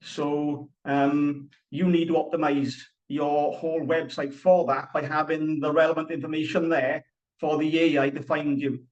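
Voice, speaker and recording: A Welsh man talks through a live online workshop, earnest and explanatory, circling back to drive each point home. His voice sits a little high for a man. The sound is dull and lo-fi. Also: little pitch movement, a little slow, a little mellow, far from the mic. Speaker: presenter.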